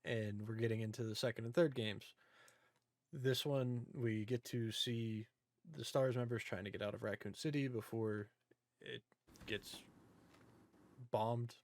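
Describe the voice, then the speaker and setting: A man's voice: mid-range voice, fairly even intonation, a little slow, pauses often, a little mellow, dry recording. A man hosting a podcast, talking casually about films in an informal, joking recap style. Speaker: podcast host. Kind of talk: casual movie discussion.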